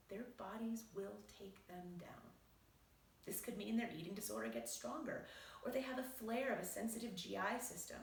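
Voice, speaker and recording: A woman physician reading aloud from her own book in a measured, explanatory way. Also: mid-range voice, creaky, distant mic, a little hiss or hum. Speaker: physician and author. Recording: roomy echo, voice set back from the mic.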